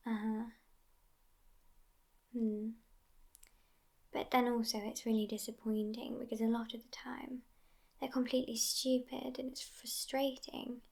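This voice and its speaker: Woman playing a slow, whispery, world-weary vampire girlfriend. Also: a little high, gravelly, a little mellow, full character voice. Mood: intimate, amused, then disdainful. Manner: languid, disdainful, pausing with thoughtful hums. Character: sassy, world-weary, centuries-old female vampire girlfriend on a date. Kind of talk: whispery soft-spoken ASMR roleplay.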